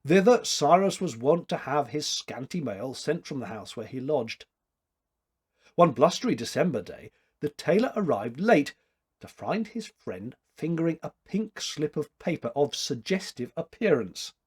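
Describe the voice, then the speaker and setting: Husky middle-aged man reading a story. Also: mid-range voice. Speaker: amateur story reader. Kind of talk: expressive read-aloud literary narration. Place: small domestic room, indoors.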